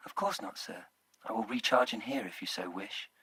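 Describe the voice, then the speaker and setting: An actor playing a polite, earnest male government droid in a sci-fi comedy audio play, speaking formally with a husky, breathy voice. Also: on the low side, moderate pace, exaggerated voice, poor audio. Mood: soothing, obliging. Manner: formal, courteous, gently probing. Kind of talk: audio play character acting.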